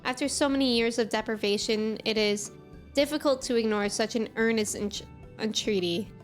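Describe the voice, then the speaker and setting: A woman voicing a proud fae creature from a game, reading its dialogue in a formal, measured, old-fashioned way. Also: on the high side, soft background music, natural voice. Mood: solemn, formal.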